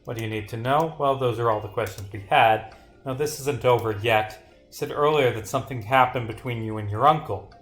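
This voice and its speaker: Man playing a game detective, probing, insistent, accusatory questioning, mid-pitched. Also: brisk, fairly bright tone, echoey room, soft background music, lightly acted. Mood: firm. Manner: pressing, accusatory.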